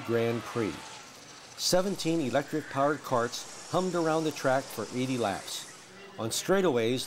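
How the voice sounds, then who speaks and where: A man's voice: medium pitch, background noise. A man reading scripted narration as the narrator of a university newsreel.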